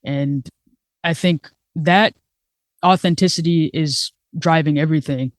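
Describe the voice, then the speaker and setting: A man from Colombia, raised in New York, speaks as a producer on a panel with a fairly high voice. The recording is dry and close, and he talks in a relaxed, conversational way. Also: a little slow, no echo. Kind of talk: casual panel answer.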